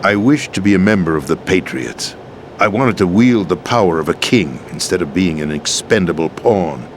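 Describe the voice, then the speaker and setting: An actor playing a disillusioned, world-weary middle-aged American president in a dramatic video game scene, with an emphatic theatrical delivery. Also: male voice, low voice, animated, moderate pace, a little hiss or hum. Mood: resigned, bitter. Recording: game soundtrack music underneath.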